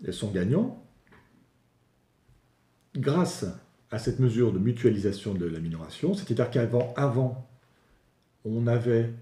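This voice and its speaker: A man with a very dark, mellow voice gives an analytical explanation in a roomy, echoing space. His pitch swings widely as he stresses key points. He teaches in a halting, step-by-step way, pausing and rephrasing as he goes. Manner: didactic, hesitant with frequent pauses, restating points in plainer terms. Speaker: local public finance analyst explaining a reform. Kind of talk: spoken explanatory analysis, semi-improvised presentation.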